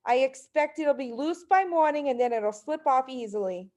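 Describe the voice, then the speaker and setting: Scolding wife in a children's audiobook, performed by a woman reader: dismissive, bossy, fairly high voice. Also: expressive, moderate pace, a little mellow. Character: scolding, scornful middle-aged wife and mother in children's story.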